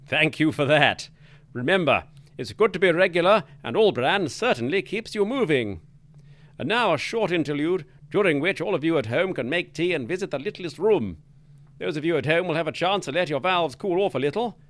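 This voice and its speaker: A man's voice: fairly high pitch, animated, moderate pace, a bit bright. A man hosting a spoof 1940s wireless hour, his patter jolly and mock-pompous. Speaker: radio show host. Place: studio.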